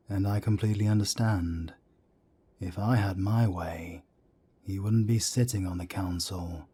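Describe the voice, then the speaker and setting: A man playing a calm, firm older werewolf councillor and mentor in an audio drama, with a soft, breathy rasp. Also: deep voice, slight background noise. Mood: low, firm. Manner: measured, firm, reassuring. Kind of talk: scripted roleplay audio drama.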